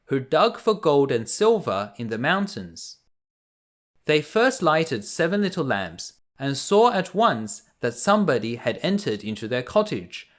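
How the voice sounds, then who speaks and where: A man narrating a children's bedtime story. He reads slowly and carefully, with very lively pitch movement and an expressive, measured storytelling manner. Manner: measured, expressive read-aloud.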